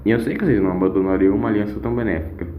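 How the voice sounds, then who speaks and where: A man's voice: on the low side, very fast, phone-quality sound, a little hiss or hum, close to an everyday voice. A man voicing a wise, moral grandfatherly time-traveller in an audio story, speaking emphatically and persuasively. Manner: emphatic, coaxing, with questioning hums. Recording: echoing voice over music.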